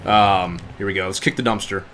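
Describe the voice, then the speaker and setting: A man giving informal live commentary on a video game, reacting out loud as he plays. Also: on the low side, fairly even intonation, brisk, noisy background. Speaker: game livestreamer. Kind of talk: casual gameplay commentary.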